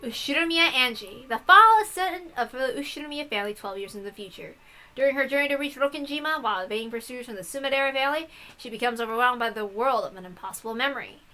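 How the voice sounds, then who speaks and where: A woman's voice: high-pitched, animated, fast talker, sharp tone, faint music behind, poor audio. A woman hosting a game playthrough, reading text aloud and adding playful, casual asides. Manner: playful, giggly, swearing asides.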